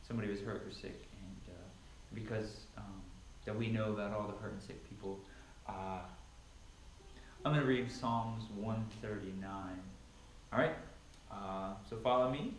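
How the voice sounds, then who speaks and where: A man's voice: fairly low pitch, moderate pace, a little hiss or hum. Man in an echoey living room. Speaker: Bible reader. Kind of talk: casual introduction, then dramatic scripture reading. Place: large, sparsely furnished living room at home. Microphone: camera a few metres away. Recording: echoey room, picked up from a distance.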